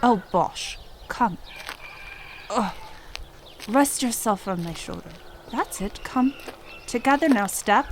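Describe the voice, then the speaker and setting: A compassionate young queen in a medieval audio drama. Her fairly high voice is slow, earnest and resolute, with clear animal and outdoor sounds behind. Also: animated, a little hiss or hum. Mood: tender, concerned. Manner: earnest, formal, theatrical.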